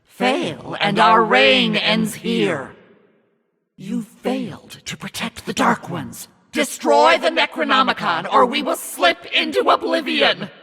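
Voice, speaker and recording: A man voicing a menacing, scornful demonic narrator in a video game, commanding and mocking in a theatrical, raspy delivery. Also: very high voice, lively intonation, slow, pushed and bright, full character voice. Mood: urgent, dire. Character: demonic evil narrator, menacing, scornful, imperious servant of darkness. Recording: long reverb over music.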